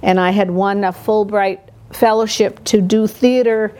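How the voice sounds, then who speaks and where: An older woman, a poet whose first language is Polish, telling her life story in a thoughtful, halting way with pauses. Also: mid-range voice, fairly even intonation, warm tone. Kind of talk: reflective personal storytelling.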